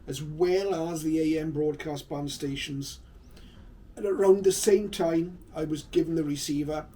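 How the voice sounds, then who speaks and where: A man in his fifties narrating his memories to viewers in an unhurried, explanatory way, as a hobbyist vlogger. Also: a little high, mellow. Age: 50s. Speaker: vlogger, shortwave radio hobbyist. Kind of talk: reminiscing video narration to viewers. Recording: slightly distant mic, faint background noise.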